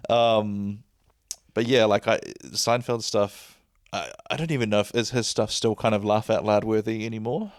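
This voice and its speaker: A man hosting a podcast in casual chat, with a deep bassy voice and a rambling, laid-back way of talking. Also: fairly even intonation, moderate pace, dry recording, clean studio sound. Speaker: podcast host. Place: lying back while recording.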